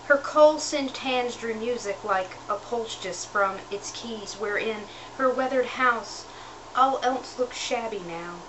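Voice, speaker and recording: A woman's voice: a little high, slow pace, a bit bright, rough recording. A woman reading a poem aloud in a recitation style. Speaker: poetry reader. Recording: clear background noise.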